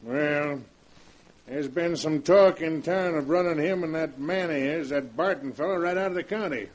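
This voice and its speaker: A man acting a homespun country sheriff in a 1940s radio drama, with a drawling, folksy way of talking. His voice sits a little higher than most men's. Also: moderate pace, close to an everyday voice, low-quality recording. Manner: drawling, colloquial small-town speech. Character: folksy rural male sheriff.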